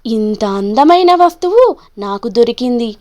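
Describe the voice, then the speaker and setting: A woman's voice: fairly high pitch, animated, measured pace, a little mellow, voice pushed down. A woman voicing a kind, honest monkey in a children's storybook, speaking simply and earnestly. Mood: delighted.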